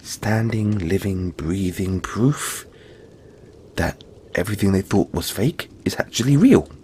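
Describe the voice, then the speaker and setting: A man voicing a gentle, cuddly, long-lived yeti in an intimate audio roleplay, speaking reassuringly with breathy, dramatic pauses. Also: deep voice, animated, a little hiss or hum. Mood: coy, deliberate.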